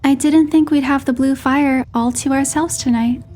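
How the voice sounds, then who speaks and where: Woman as high-voiced young heroine narrating. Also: steady tone, normal speed, warm tone, faint music behind, close to an everyday voice. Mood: gentle storytelling. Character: young female heroine narrating her romantic trip. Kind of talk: scripted first-person story narration.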